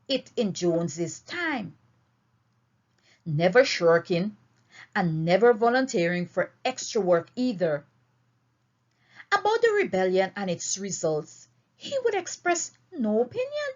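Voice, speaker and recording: A woman reading a novel aloud as an expressive storyteller, with deliberate, emphatic phrasing, on a dull, lo-fi recording. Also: on the high side. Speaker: audiobook reader.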